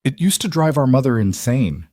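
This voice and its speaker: A man's voice: medium pitch, sing-song, normal speed, mellow, no room sound, clean studio sound, voice pushed up. A man voicing a mafia boss's frank, wry sister in an audiobook, direct and reflective. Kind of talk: audiobook character dialogue.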